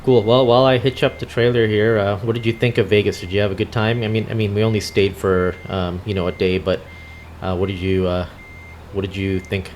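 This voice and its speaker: A man hosting a gaming video in casual, easygoing chat, with a hesitant, halting delivery. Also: on the low side, level tone, slight background noise. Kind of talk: casual roleplay chat while driving in a game. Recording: music behind the voice. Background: music.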